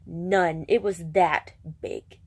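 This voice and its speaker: A woman's voice: lowish voice, steady tone, slow, husky. Stuffed-up woman rambling in a vlog. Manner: rambling, self-deprecating, restarts sentences often. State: stuffed up, congested. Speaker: vlogger. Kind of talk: casual vlog chat.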